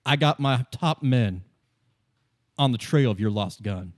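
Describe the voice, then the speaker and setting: A man voicing a jovial, scheming, heavyset union boss with effusive flattery and rambling salesmanship in a tabletop roleplay. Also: medium pitch, unhurried, no echo, studio quality, lightly acted. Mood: effusive, flattering. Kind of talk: improvised tabletop roleplay acting.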